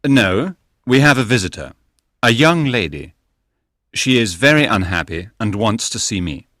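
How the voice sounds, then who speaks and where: A man acts out a courteous gentleman detective from a storybook. His voice sits low and moves with very lively intonation. He is measured, polite and reassuring, recorded close and dry. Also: no echo. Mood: polite, earnest. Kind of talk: dramatised audiobook reading.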